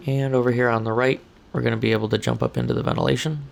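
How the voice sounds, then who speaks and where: A hoarse man giving calm step-by-step commentary through a stealth game walkthrough. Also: medium pitch, very fast. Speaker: gameplay walkthrough narrator.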